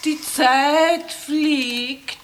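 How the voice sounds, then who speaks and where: An actress playing an affected society lady with drawn-out speech in an absurdist radio comedy. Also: sits high, steady tone, slight background noise. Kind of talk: absurdist comic radio play acting.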